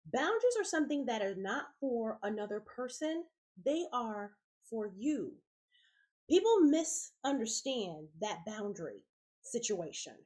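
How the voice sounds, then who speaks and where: A middle-aged woman hosting a self-care podcast, sounding raspy and congested from a head cold, speaking in an encouraging way straight to her listeners. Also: fairly high pitch, darkish tone. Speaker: podcast host. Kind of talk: motivational self-care talk.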